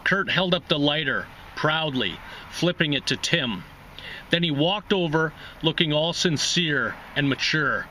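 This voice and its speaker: A man reads a short story aloud as host of a reading series. His voice is bright, forceful and slightly high, with faint noise in the background. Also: animated, a little slow, low-quality recording.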